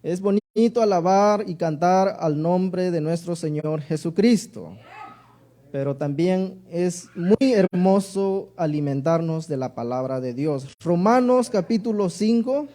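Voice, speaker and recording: Male preacher, southern Mexican accent. High, mellow voice, deliberate delivery of sermon and scripture. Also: animated. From Oaxaca, Mexico. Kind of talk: sermon with Bible reading.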